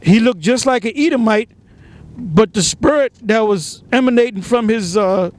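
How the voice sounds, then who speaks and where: A man in an outdoor preaching discussion, chiming in with examples and halting restarts. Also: high voice, animated. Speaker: street preacher.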